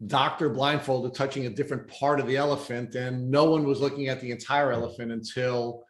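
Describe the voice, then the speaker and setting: Host, male, mid-pitched, wordy lead-ins, roomy echo around the voice. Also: steady tone, brisk. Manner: long, wordy framing of questions. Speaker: interview host. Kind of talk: interview questioning. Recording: roomy, echoey sound.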